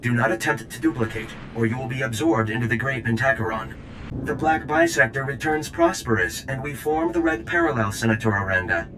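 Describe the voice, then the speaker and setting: A man's voice: a little fast, natural voice. A man voicing an alien ambassador droid in a video game, formal and stilted, with oddly cryptic phrasing. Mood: formal, declarative. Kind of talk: video game character acting. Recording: clear rumbling noise behind.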